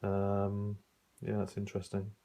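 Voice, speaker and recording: Low-voiced middle-aged man, musing aloud. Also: monotone, dark tone. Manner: musing, halting, thinking aloud while browsing. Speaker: radio hobbyist reviewing an old magazine. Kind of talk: casual unscripted review commentary.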